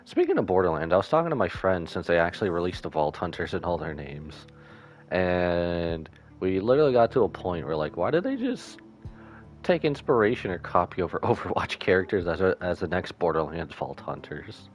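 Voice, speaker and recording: A man's voice: low voice, lively intonation, fairly quick. A man live-streaming a casual reaction to a game, commenting in a playful, slangy way.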